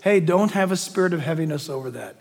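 A middle-aged man preaching a sermon, reading scripture with emphasis and dropping into hushed asides. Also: fairly high pitch, animated, quick pace, creaky. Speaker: pastor. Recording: long reverb of a large hall.

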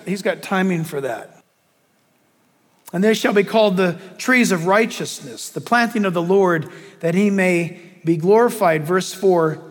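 A middle-aged man preaching a sermon, reading scripture with emphasis and dropping into hushed asides. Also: sits high. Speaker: pastor. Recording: long reverb of a large hall.

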